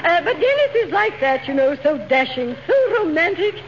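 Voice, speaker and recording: Fluttery high-pitched haughty society matron. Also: female voice, big pitch swings, fairly quick. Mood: swooning. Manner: affected, fluttery, pretentious, with French phrases. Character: gushing, haughty society matron, middle-aged and romantic. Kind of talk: 1940s radio comedy acting. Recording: noisy, muffled 1940s radio broadcast.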